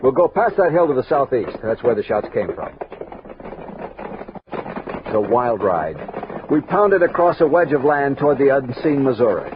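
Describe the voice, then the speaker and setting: An actor playing a resolute, reflective army captain, narrating a 1960s radio western with dramatic command. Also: male voice, medium pitch, very animated, normal speed, long pauses, natural voice. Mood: determined. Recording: noisy tinny vintage 1960s radio recording.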